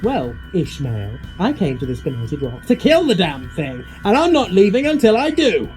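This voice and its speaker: A man acts out a reckless, eccentric monster hunter in an audio drama. His delivery swings widely in pitch, wry and wordy with an obsessive streak, over clearly audible music. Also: huge pitch swings, moderate pace, darkish tone, rough recording. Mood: grimly defiant. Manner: theatrical, flippant, wordy, wry. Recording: music behind the voice.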